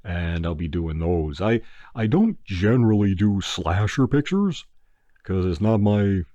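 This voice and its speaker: A man's voice: deep voice, animated, measured pace, warm tone, no echo, dull audio. A man hosting his own channel, chatting casually in a rambling, laid-back way with lots of ums and uhs. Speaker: movie review channel host. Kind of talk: casual podcast-style chat.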